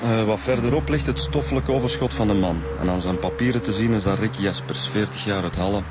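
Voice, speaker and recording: Actor as a low-voiced Flemish detective. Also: male voice, fairly quick, natural voice. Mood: matter-of-fact. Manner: plain, dry. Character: dry, matter-of-fact male police detective reporting findings. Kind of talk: radio drama acting. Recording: clear outdoor background noise.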